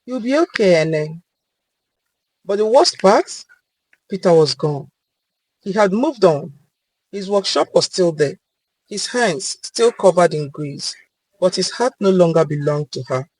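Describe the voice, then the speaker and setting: Expressive woman storyteller, very lively pitch. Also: measured pace, husky, low-quality recording. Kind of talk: dramatic scripted story narration.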